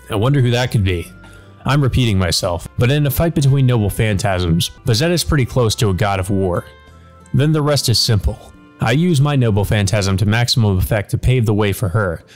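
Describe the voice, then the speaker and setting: A man voicing a mocking, low-voiced demon servant. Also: a little mellow, close to an everyday voice. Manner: flippant, taunting, casual. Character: cynical, mocking male demon servant, a grinning shadow.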